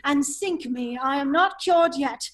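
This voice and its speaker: A woman playing a witty, foppish English gentleman with a secret life, in an affected drawling manner in an amateur drama reading. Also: high voice, measured pace. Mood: weary, guarded. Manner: affected, languid, drawling, exclaiming.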